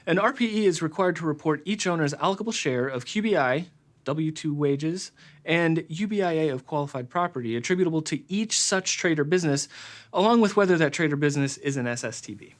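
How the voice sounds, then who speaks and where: Man doing accountant sidekick, brisk, explanatory, slightly high pitch. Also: animated, lightly acted. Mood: matter-of-fact. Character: brisk male accountant sidekick, matter-of-fact.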